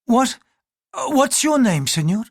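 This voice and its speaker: A man's voice: very high-pitched, very animated, unhurried, no echo, studio quality, voice pushed up, heavily acted. A man voicing a formal, polite Japanese noblewoman who speaks with strained courtesy.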